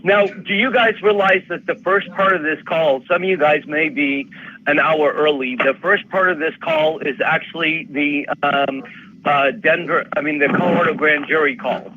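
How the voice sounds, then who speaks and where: Maine accent guy chatting on a conference call, bit high voice, muffled line w some hiss. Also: moderate pace. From Maine, United States. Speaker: call participant, judge. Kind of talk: casual group call chat.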